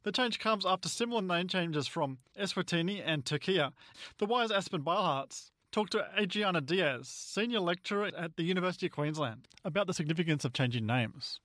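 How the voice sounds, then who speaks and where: Higher-pitched male news presenter. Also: animated, fairly quick, a bit bright, dry recording. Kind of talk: scripted news introduction.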